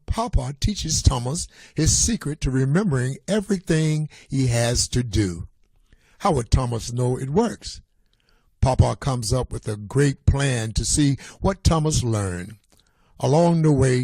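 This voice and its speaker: Relaxed, mellow man reading a storybook aloud. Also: medium pitch, no room sound. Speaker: read-aloud reader.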